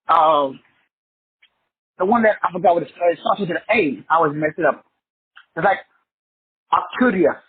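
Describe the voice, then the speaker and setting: Middle-aged man, motivational teacher: high, lively pitch, preachy and profane, muffled lo-fi sound. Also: slightly rough. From South Texas. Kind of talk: motivational class lecture.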